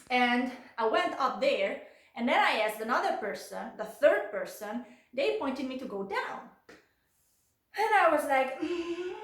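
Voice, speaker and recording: A woman's voice: on the high side, fairly bright tone, roomy sound. A young woman with a Hungarian accent, telling a casual storytime vlog in English and filling her talk with "like" and "you know". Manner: chatty, lots of filler "like" and "you know". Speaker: vlogger.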